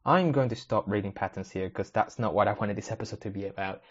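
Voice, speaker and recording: A man with a fairly low voice whose first language is Spanish talks through a solo podcast. He rambles, stops himself halfway through sentences and makes wry asides. The recording sounds a little dull and lo-fi. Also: fast talker. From Spanish-speaking country, moved to the UK at age ten. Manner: rambling, self-interrupting, wry. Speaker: podcast host. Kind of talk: casual solo podcast, thinking aloud while browsing.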